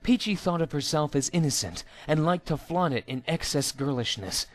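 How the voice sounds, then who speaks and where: A man's voice: fairly high pitch, expressive, normal speed. A man reading a story aloud as scripted narration, in a theatrical manner. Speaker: amateur story reader.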